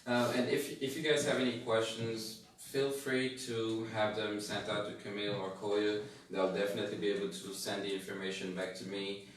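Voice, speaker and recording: Male talk show guest from Senegal, low voice, casual delivery, recorded far from the mic in a heavy echo. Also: flat delivery, moderate pace, hardly any pauses, slight background noise. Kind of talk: casual chat. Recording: very echoey room, distant microphone.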